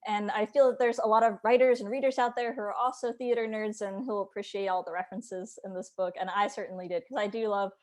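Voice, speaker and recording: A woman's voice: mid-range voice, fairly even intonation, fast talker, hardly any pauses. Woman, interview host, conversational, mid-range voice. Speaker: host, developmental editor.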